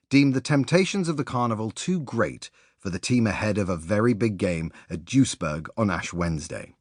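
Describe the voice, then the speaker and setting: Male narrator for non-fiction audiobook: lowish pitch, highly varied intonation, measured storytelling, close dry sound. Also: husky, no echo. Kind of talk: scripted narration of a sports biography.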